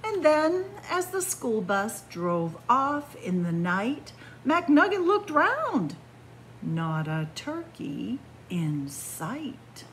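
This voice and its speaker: A middle-aged woman reading a picture book aloud to children in an animated, deliberate storytelling manner. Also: high voice, a little hiss or hum. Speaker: library story-time reader. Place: indoors in a library among bookshelves.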